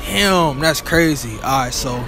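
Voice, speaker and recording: A man's voice: on the high side, a little slow, over music. Playful young man interviewing in a mall. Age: young adult.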